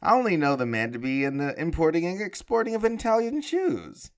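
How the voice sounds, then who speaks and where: A man's voice: on the high side, big pitch swings, fairly quick. A man playing a smug, dismissive bank executive in an audio drama, glib and breezy.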